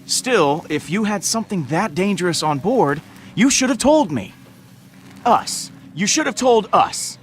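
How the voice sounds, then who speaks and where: A man playing a cocky, easygoing young space hero in an animated game, with a wry, casual, self-assured manner. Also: high voice, a little slow, soft background music. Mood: annoyed.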